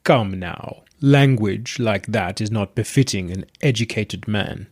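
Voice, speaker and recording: Man voicing gravelly, slow-spoken ancient god. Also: dry recording, clean studio sound. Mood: smug, courtly. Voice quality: gravelly and deep. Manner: slow, pompous, courtly phrasing. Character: ancient god possessing a man, smug, formal, menacing.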